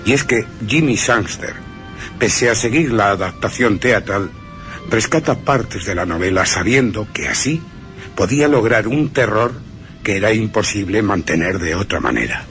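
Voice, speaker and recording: A man's voice: fairly low pitch, expressive, normal speed, a bit bright, faint music behind. A man with a Castilian Spanish accent giving scripted film commentary as a radio presenter. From Spain.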